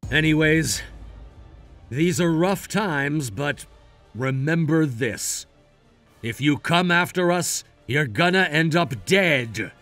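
An earnest young gangster from an anime cartoon. The voice sits a little high and moves freely up and down. He sounds loyal and keen as he addresses viewers, over light music. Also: expressive, slow, a bit bright, exaggerated voice. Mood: grim. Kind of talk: anime voice acting, presenting to viewers.

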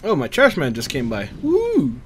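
A man's voice: medium pitch, expressive, measured pace, a little hiss or hum. A man giving casual let's-play commentary, informally muttering to himself as he plays. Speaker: gamer playing and commenting. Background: game gunfire and battle ambience.

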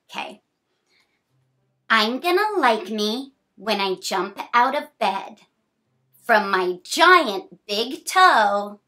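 A woman in her thirties reading a picture book aloud to children in an expressive, encouraging, sing-song way, with chatty, smiling asides. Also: medium pitch. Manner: giggly, smiling delivery. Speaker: children's read-aloud host. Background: music.

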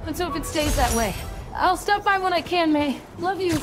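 A woman's voice: very high-pitched, animated, moderate pace. A woman playing a young, determined, wisecracking superhero in a video game. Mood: caring. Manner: wisecracking, earnest. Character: young, quippy, determined masked city superhero. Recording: loud music over the voice. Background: loud game music.

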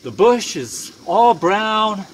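A man's voice: sits high, sing-song, slow, slight background noise. An older man hosting a casual outdoor vlog, easygoing and chatty, saying "eh" now and then. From Canada. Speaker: channel host. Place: outdoors at a night campfire in the woods.

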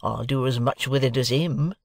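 A performer voicing a sullen, spiteful young journeyman blacksmith with a gruff, growling, rustic working-class way of talking in an audiobook. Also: mid-range voice, moderate pace, no echo, close to an everyday voice. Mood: surly, stubborn. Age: young adult.

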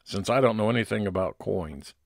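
Deep, gravelly man narrating detective fiction. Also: fairly quick, dry recording, clean studio sound. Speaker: narrator playing a private investigator. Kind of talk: scripted first-person narration, old-time radio detective style.

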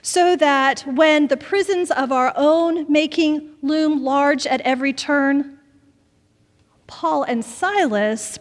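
A middle-aged woman pastor delivering a sermon from a pulpit in a church, with deliberate, expressive phrasing and dramatic pauses. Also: high voice. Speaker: pastor preaching. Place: large wood-panelled church sanctuary. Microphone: pulpit microphone. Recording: long church reverb.

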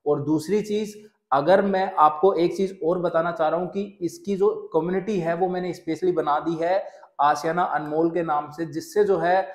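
Chatty young man, slightly high voice. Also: fairly even intonation, moderate pace. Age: young adult. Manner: chatty, mixes English phrases, many "jo hai" fillers. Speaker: real estate channel host. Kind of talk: casual Hinglish property update to camera. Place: indoor studio set with shelves. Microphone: lapel mic. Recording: roomy echo.

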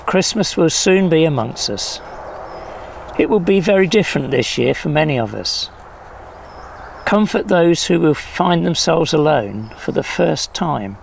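An older man solemnly reading prayers at a church service in measured phrases, recorded outdoors. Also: fairly high pitch, creaky. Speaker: prayer leader. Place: outdoors in a leafy field or garden. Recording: faint outdoor background noise.

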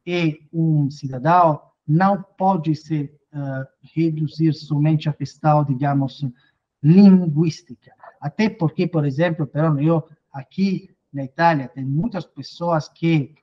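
A man in his thirties, a lawyer, answering interview questions from a home office over a video call, often hesitating with fillers and restarts. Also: fairly high pitch. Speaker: lawyer interviewed on citizenship law. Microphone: video call webcam. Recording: dull lo-fi video call audio.

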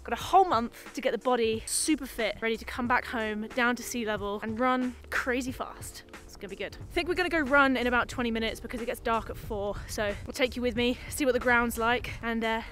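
A woman's voice: a little high, brisk, few pauses, fairly bright tone, faint music behind. A young woman in her twenties, chatty and upbeat, vlogging to a handheld camera at arm's length and laughing easily. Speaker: vlogger and runner. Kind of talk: casual vlog to camera. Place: outdoors in snowy streets and indoors at home.

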